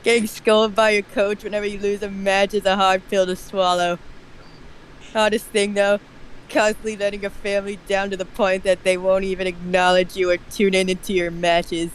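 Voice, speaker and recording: A man's voice: high voice, little pitch movement, moderate pace, poor audio. A man reads a story aloud as its narrator. The recording carries a faint layer of noise behind the voice.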